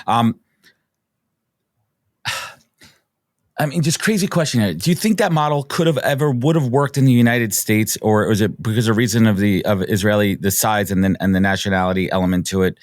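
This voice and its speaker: A man's voice: lowish voice, brisk, no echo, studio quality. A man hosting a business podcast, enthusiastic, often restarting and repeating words. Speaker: podcast host. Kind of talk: casual interview chat.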